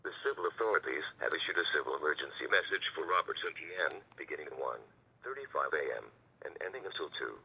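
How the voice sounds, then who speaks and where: A man's voice: extremely low pitch, big pitch swings, quick pace, vocal fry, bright, forceful tone. A man reading a formal emergency broadcast message as an alert announcer, with a radio sound. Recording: dull, noisy radio-like alert audio.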